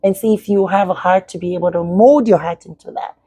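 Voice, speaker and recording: A woman's voice: fairly low pitch, fairly even intonation, a little fast, dark tone, husky, no room sound. A middle-aged woman from Nigeria whose first language is Yoruba, speaking as an educator guest in an interview and giving earnest advice to parents. She repeats her points for emphasis.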